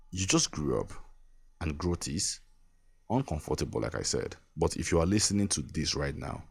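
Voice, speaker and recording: A man's voice: rumbling low, creaky, breathy edge, no echo. A young Nigerian man hosting his own podcast, giving an earnest motivational talk that repeats its points and breaks into small laughs. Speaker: podcast host. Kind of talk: motivational monologue.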